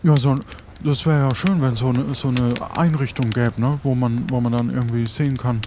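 A man playing a naive shipwrecked pirate in an improvised audio adventure, halting and repeating himself. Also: medium pitch, steady tone, noisy background, lightly acted. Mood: hesitant, curious.

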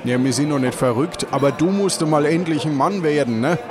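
A man's voice: on the high side, fairly quick, crowd noise. A man improvising casual comic adventure dialogue in a colloquial, bossy way. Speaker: player in an improvised audio play. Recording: music behind the voice.

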